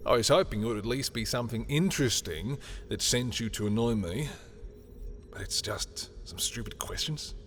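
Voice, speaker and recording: A sardonic male villain, acted by a man for an audio roleplay, petty and theatrical. He speaks in sarcastic taunts to a familiar rival at a medium pitch. Faint music plays behind the voice. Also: lightly acted. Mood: annoyed. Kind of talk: scripted audio roleplay acting.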